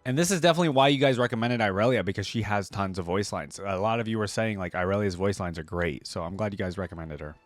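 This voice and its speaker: A man's voice: lowish voice, fast talker, hardly any pauses, no room sound, faint music behind. A man giving casual reaction commentary on game voice lines as a host, musing aloud in loose, informal fragments.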